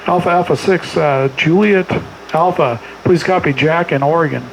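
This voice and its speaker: A man's voice: on the high side, moderate pace, poor audio. A middle-aged man working an amateur radio contest, rattling off clipped exchanges and spelling in phonetics. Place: small home radio room indoors. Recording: clear background noise.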